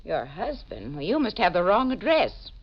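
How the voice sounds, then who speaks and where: An actress playing a large, brusque, suspicious housekeeper with a curt, indignant manner in 1940s radio mystery style. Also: female voice, lowish voice, wildly swooping pitch, moderate pace, heavily acted. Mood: suspicious, firm. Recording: muffled old 1940s radio recording.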